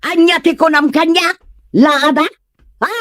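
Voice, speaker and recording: A woman's voice: high-pitched, fairly even intonation, unhurried, dry recording, clean studio sound. A woman playing a quarrelsome, boastful, stubborn elderly mother in a comedic audio drama, with scornful, taunting retorts. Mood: combative, taunting. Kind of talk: comedic Ilocano radio-style drama acting.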